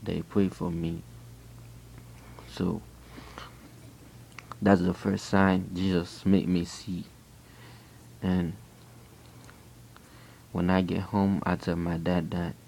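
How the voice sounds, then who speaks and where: A man from Haiti with a Haitian accent, telling a personal faith testimony in an earnest, halting way with frequent restarts. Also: low voice, vocal fry, a little mellow, slight background noise. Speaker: person giving a religious testimony.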